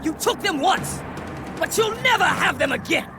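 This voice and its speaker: An actor playing a tormented, vengeful Spartan warrior in a video game, intense, brooding and defiant. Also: male voice, very high voice, expressive, moderate pace, over music. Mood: desperate fury. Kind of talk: dramatic video game acting.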